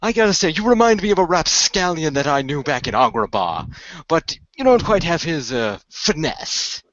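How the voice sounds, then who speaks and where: A man's voice: on the high side, very animated, normal speed, a bit bright, no echo, muffled recording. A man voicing a haughty, taunting evil sorcerer villain in an amateur radio play. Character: gloating evil sorcerer villain with a snake staff.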